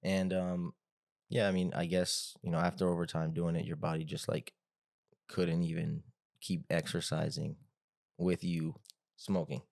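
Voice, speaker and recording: A man with a deep voice hosts a casual podcast chat. His delivery is flat and meandering, full of filler phrases, and the sound is close and dry. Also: measured pace, gravelly, no room sound. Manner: rambling, hedging with frequent "like" and "you know". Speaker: podcast host, interviewer.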